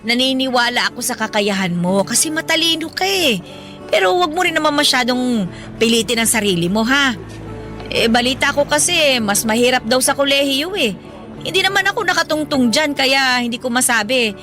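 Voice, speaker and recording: Radio drama mother, late 30s: high, forceful, colloquial, affectionate and proud. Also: normal speed, faint music behind. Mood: earnest, affectionate. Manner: animated, motherly, colloquial, playfully indignant. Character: hardworking, proud, affectionate 37-year-old mother driving a pedicab. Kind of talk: Filipino radio drama acting.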